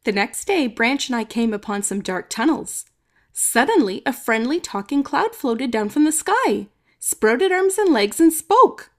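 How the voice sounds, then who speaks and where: A woman reading a children's story aloud expressively, with dramatic emphasis and some drawn-out words. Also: sits high, very animated, fairly bright tone. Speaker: storybook reader. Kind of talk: expressive children's read-aloud, narrating in first person.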